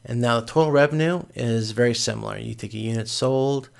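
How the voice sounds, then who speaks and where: A male instructor with a creaky, fry-laden voice at a middling pitch talks through material step by step. His delivery is informal and somewhat halting, with frequent repeats and rephrasing as he explains. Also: steady tone. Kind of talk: informal explanatory lecture, screen walkthrough.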